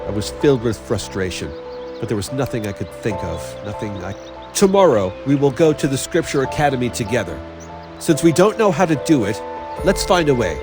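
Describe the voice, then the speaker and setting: A man playing a gruff, brooding young senior who secretly cares, blunt and intense, in a fantasy game. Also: medium pitch, big pitch swings, a little fast, music in the background, lightly acted. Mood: frustrated, brooding. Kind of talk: dubbed fantasy game cutscene acting.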